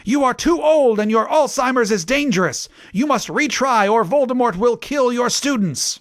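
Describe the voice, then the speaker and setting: A man voicing an outraged older woman official in a melodramatic, shouting storybook manner. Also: extremely high pitch, expressive, normal speed, no echo, studio quality, voice pushed up.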